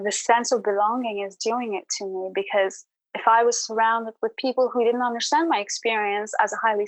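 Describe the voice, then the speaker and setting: A woman's voice: on the high side, dry recording, rough recording. A woman speaking as a podcast guest in a reflective, conversational way, earnest and encouraging.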